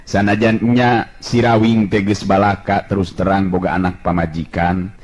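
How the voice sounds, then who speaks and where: A man narrating a folk tale as a storyteller, in a brisk, measured way. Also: sits low, flat delivery, a little mellow.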